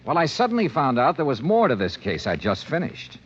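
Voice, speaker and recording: A man's voice: mid-range voice, huge pitch swings, a little fast, husky. An American man playing a tough, wry insurance investigator in a 1950s radio drama, clipped, dry and hard-boiled. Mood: dry, resolute. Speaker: star and narrator of detective series. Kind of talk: 1950s radio detective acting and narration. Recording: muffled 1950s radio recording, organ music.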